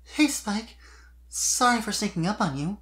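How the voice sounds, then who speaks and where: A narrator voicing a sweet, shy young mare pony who speaks softly and a little hesitantly in a fan fiction reading. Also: mid-range voice, animated, a little slow, voice pushed up. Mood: cheerful, shy. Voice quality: soft voice.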